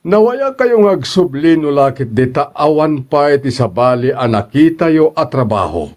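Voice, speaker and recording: A man's voice: on the high side, big pitch swings, normal speed, a little mellow. A man playing a kindly, regretful eatery boss in an Ilocano radio drama, apologetic and considerate.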